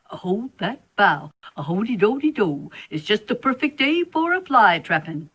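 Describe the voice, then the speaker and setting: A whimsical, hungry spider from a children's storybook play, voiced by a woman. The delivery is sing-song and very animated in pitch. It is unhurried, with a rough, husky edge. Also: full character voice. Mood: gleeful. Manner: sing-song, repeating nonsense catchphrases. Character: hungry, whimsical spider hunting flies, insists it isn't mean.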